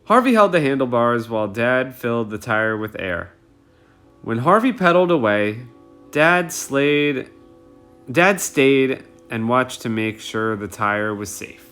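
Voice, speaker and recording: A man reading a children's picture book aloud expressively, in an animated way for young listeners. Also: mid-range voice, unhurried, clear, resonant tone, soft background music. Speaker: read-aloud storyteller.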